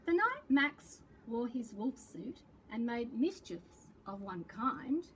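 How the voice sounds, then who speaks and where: Animated high-pitched woman reading to children. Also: a little hiss or hum, poor audio. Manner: theatrical, deliberate, addresses small children directly. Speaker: school librarian reading to young children. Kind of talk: expressive picture-book read-aloud.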